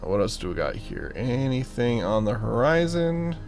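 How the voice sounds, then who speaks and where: A man's voice: mid-range voice, big pitch swings, normal speed, a little mellow, faint music behind. A man giving casual gameplay commentary, thinking aloud and muttering to himself with playful asides. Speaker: gaming channel host.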